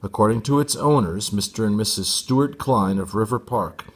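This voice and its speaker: Low-voiced man reading a children's novel aloud. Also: moderate pace. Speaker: storybook reader. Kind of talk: expressive audiobook narration for young readers.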